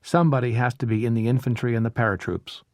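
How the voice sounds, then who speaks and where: A man's voice: mid-range voice, steady tone, quick pace, a little mellow, no room sound, studio quality, close to an everyday voice. Man reading as a blunt young paratrooper. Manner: blunt, matter-of-fact. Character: young paratrooper writing home to his mother.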